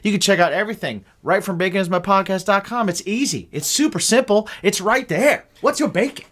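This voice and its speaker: A man's voice: sits high, brisk, a bit bright. A man co-hosting a podcast, joking around playfully while he promotes the show's site. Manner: jokey, playful banter. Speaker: podcast co-host. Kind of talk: casual chat.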